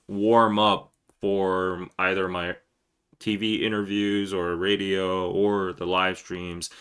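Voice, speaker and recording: Korean-accented male podcast host in his thirties, somewhat low voice with a bright edge, rambling and emphatic. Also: smooth. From South Korea. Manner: rambling, animated, laughs between phrases, loud emphatic bursts. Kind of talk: casual live-stream chat.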